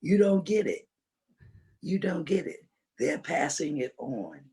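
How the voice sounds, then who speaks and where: A woman's voice: deep voice, sing-song, takes it slowly, warm tone. An older woman, a veteran swing dancer and podcast guest, telling stories emphatically and repeating phrases to drive her point home. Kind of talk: animated conversational storytelling.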